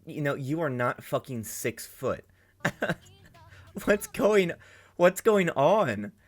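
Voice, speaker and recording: Excitable, swearing man reacting to an anime. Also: on the high side, drawn-out words. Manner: excitable, profane, thinks aloud with many restarts. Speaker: reaction video host. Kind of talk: casual reaction commentary.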